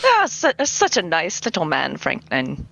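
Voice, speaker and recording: A woman's voice: deep voice, huge pitch swings, unhurried, gravelly, fairly bright tone, slight background noise, full character voice, rough recording. A woman playing a genteel, melodramatic British lady in an amateur radio play, with theatrical delivery. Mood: gracious. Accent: put-on British. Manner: theatrical, dramatic exclamations.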